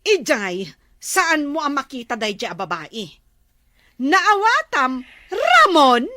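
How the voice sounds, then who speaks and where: A woman's voice: high voice, sing-song, slow, pushed and bright, dry recording, clean studio sound, full character voice. A woman with an Ilocano accent playing a jealous, suspicious, hot-headed wife in a comedy drama, scolding and exclaiming.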